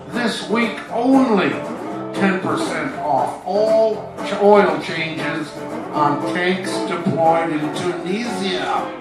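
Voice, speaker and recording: A man playing a mock-serious, showy 1940s radio announcer and pitchman, with deliberate delivery. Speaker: announcer, narrator. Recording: echoey, distant, with music behind.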